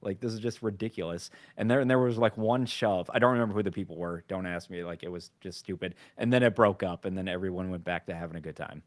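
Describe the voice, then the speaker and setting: Podcast guy with a lowish voice, dry mic, tells stories with a million "likes". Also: fast talker, few pauses, dry recording. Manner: animated storytelling, full of "like". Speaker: podcast co-host. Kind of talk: casual panel chat.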